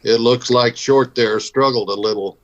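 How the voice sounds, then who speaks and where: An actor playing a steady, matter-of-fact Old West lawman in a Western radio drama. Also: mid-range voice, normal speed, lightly acted. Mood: businesslike. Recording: dull band-limited audio.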